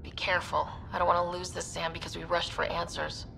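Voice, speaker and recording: A woman playing a steady, caring young team leader in a video game, speaking earnestly and firmly. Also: on the low side, fast talker, creaky, slight background noise, heavily acted, rough recording. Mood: concerned, questioning.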